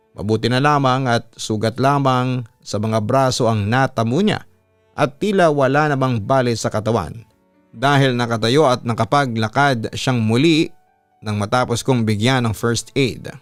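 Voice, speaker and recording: Filipino guy radio announcer reading out a story letter, medium pitch, really dry mic, bit of music behind. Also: no echo. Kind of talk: scripted narration of a listener's letter.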